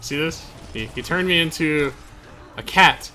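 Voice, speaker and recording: A man's voice: fairly high pitch, lively intonation, unhurried, sharp tone. A young man hosting a casual let's play, explaining the game with enthusiasm. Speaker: gaming video host. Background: faint game music.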